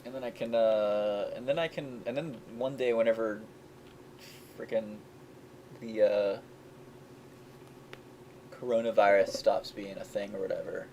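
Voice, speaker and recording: A man's voice: lowish voice, fairly even intonation, measured pace, long pauses, dark tone, slight background noise, rough recording. A young man, a game developer, rambling in casual chat, often restarting his sentences and laughing at himself. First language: English.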